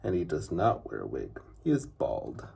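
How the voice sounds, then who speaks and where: A man voicing a brisk, firm, matter-of-fact woman, a magical nanny in a children's storybook. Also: extremely low pitch, wildly swooping pitch, vocal fry, warm tone, slight background noise, voice pushed down, exaggerated voice.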